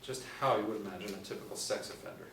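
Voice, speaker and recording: A man's voice: lowish voice, rapid-fire, a bit bright. A man in his forties reading scripted stage directions as a narrator. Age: 40s. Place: small room indoors. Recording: roomy, distant mic, faint noise.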